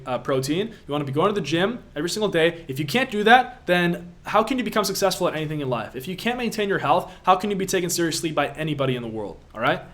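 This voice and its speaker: A young man from Latvia hosts a live question-and-answer stream as a business coach. His voice sits a touch high for a man. He talks in an emphatic, pushy way and hammers points home by repeating them. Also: fairly bright tone.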